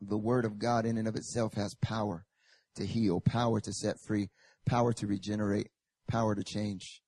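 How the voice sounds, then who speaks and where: A young male pastor with a hoarse, raspy voice from a recent chest infection, joking casually with the congregation and then reading Scripture and praying with rising fervor in a church service. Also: on the low side, flat delivery, normal speed, a little mellow, no echo. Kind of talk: sermon.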